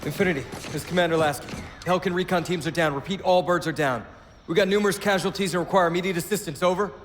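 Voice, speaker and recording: Man playing an earnest, quick-talking military commander. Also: high-pitched, lightly acted. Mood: urgent. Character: earnest, personable male naval first officer and commander. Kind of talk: video game voice acting. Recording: reverberant, noisy outdoor game ambience.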